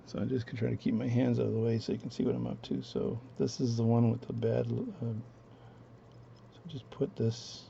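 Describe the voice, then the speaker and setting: A man with a low voice talking through his watch repair at a home workbench, relaxed and rambling as he narrates each step. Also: moderate pace, vocal fry, muffled recording, a little hiss or hum. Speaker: watchmaking hobbyist demonstrating. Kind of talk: casual bench demonstration, talking while working.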